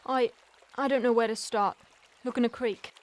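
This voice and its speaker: A woman's voice: a little high, steady tone, normal speed, natural voice. A woman playing a plain-spoken rustic young woman in a fantasy audio drama, folksy, hesitant and earnest.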